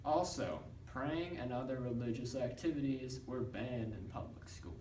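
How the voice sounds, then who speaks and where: A young man reading a scripted school newscast report in a halting, recited manner. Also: mid-range voice, a little mellow. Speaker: student news presenter in a class project. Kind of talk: scripted narration read as a newscast. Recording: roomy, distant mic with background noise.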